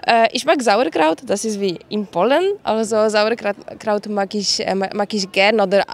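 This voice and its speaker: A woman's voice: mid-range voice, normal speed. A woman, chatting casually in a street interview. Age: 30s. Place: outdoors beside a building. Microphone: interviewer's handheld microphone.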